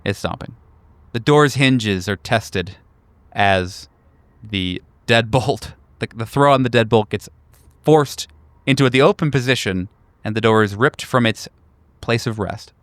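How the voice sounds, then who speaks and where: A man narrating a horror tabletop game as game master, deliberate, with dramatic pauses. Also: lowish voice, no echo.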